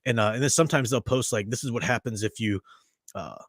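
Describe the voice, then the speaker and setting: Man, tired, casual livestream host, rambling, filler-heavy, mid-pitched, dry close sound. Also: quick pace, no echo. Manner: rambling, chatty, with many fillers and restarts. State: tired after a long day. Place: at home.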